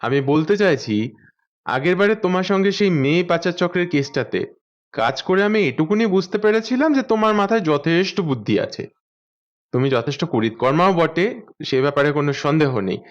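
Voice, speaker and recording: Higher-voiced inquisitive male detective, acted by a man. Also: lively intonation, fairly quick, muffled recording, natural voice. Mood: conciliatory. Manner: conversational, questioning, conciliatory. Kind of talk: audio story acting.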